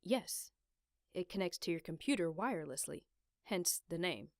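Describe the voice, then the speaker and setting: Cool, low-pitched self-assured woman, acted by a woman. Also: animated, normal speed, darkish tone, dry recording, studio quality. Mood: dry, teasing. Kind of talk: fan dub of a visual novel game.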